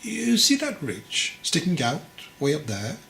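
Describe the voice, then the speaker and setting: A man voicing a venerable old monastery master in a storybook, calm, measured and gently probing. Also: on the high side, creaky, roomy sound, slight background noise, pitched above the performer's own voice, heavily acted. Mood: gently stern. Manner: measured, questioning, teasingly patient. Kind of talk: storybook character dialogue.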